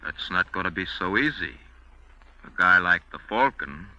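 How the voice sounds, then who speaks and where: An actor playing a wary, cool hired killer, terse and understated in vintage radio crime style. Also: male voice, low voice, expressive, moderate pace, sharp tone, dry recording, exaggerated voice. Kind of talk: 1940s-1950s radio crime acting. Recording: dull, very noisy vintage radio recording.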